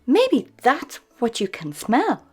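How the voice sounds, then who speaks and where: A woman's voice: high voice, huge pitch swings, slow pace, slightly rough, faint music behind, pitched above the performer's own voice, heavily acted. A woman voicing a cheerful, bossy young girl in a storybook reading. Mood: bright.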